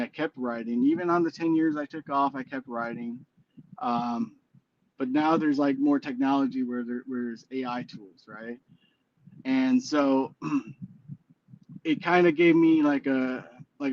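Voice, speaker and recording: A man's voice: medium pitch, lo-fi sound. Rambling hesitant man in his forties. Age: 40s. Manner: rambling, hesitant with many fillers and restarts. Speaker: guest musician. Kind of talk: casual interview chat.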